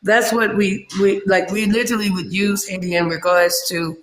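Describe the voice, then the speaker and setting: A man's voice: high voice, little pitch movement, normal speed, fairly bright tone. A man joining a casual live-stream panel as a guest, talking in an earnest, searching way with many restarts. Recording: echoey room sound.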